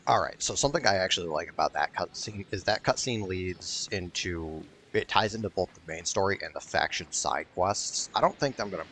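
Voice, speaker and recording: A man with a low voice talks casually over a video game as the host of a let's play. He is blunt and swears freely, with faint noise behind him. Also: animated, a little fast, few pauses, fairly bright tone.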